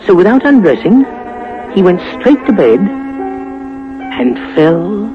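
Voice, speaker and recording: A male narrator tells a story in old 1950s radio style, his high voice rising and falling dramatically. Music plays behind him on a muffled recording. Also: very animated. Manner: dramatic, suspenseful storytelling with pauses.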